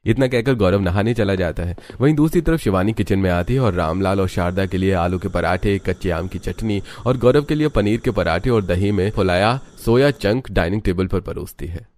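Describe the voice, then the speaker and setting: A man's voice: sits low, moderate pace, hardly any pauses, a little mellow. A man narrating a scripted cartoon story.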